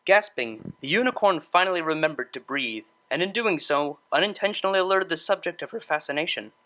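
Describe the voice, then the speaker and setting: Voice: mid-range voice, expressive, brisk, bright, forceful tone, poor audio. A narrator reading scripted fan fiction as an audiobook storyteller.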